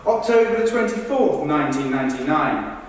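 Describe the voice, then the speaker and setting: A man's voice: on the high side, sing-song, brisk. A man delivering a rehearsed verbatim theatre monologue, recorded from a distance in a very echoey rehearsal room. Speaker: stage performer. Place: large reverberant indoor rehearsal room.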